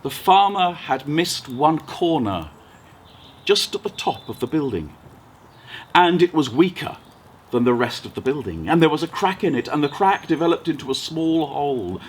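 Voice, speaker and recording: A man playing a deferential, patient royal courtier telling a bedtime story in a courteous, deliberate way. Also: fairly high pitch, sing-song, breathy edge. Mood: measured, courteous. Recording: noticeable background noise.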